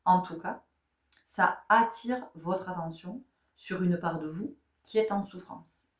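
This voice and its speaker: A woman's voice: fairly low pitch, slow, distant mic. Woman giving spiritual guidance, echoey room. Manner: rhetorical questions, repeats phrases, checks in with "d'accord?". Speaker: tarot and spiritual guidance reader.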